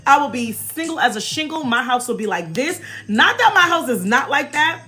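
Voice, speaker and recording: High, bright-voiced American woman chatting. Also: normal speed. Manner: animated, emphatic, informal slang. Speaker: livestream host. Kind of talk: casual chatty livestream. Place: small indoor room, backdrop behind. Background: faint music.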